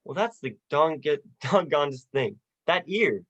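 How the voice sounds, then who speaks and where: A young performer playing a despairing, sardonic family man in a radio play, with halting, dry delivery. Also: medium pitch, lively intonation, a bit bright. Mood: baffled. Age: teens or young adult. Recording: dull, lo-fi video call audio.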